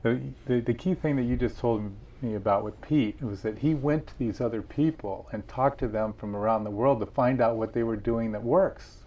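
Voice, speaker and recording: A man's voice: mid-range voice, normal speed, hardly any pauses, mellow, muffled recording. A man being interviewed about his book, telling stories earnestly with frequent restarts. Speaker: author and guest. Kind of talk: interview conversation.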